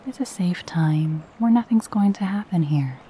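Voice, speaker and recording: A woman guiding a meditation in a soft, breathy voice, with a gentle, soothing and unhurried delivery. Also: fairly low pitch, animated, slight background noise. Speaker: meditation guide. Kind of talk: guided meditation with spoken affirmations.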